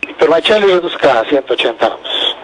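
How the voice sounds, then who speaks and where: Fast Mexican male air traffic controller on radio. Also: fairly high pitch, lively intonation, fairly bright tone, low-quality recording. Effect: aviation radio. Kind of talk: clipped radio phraseology.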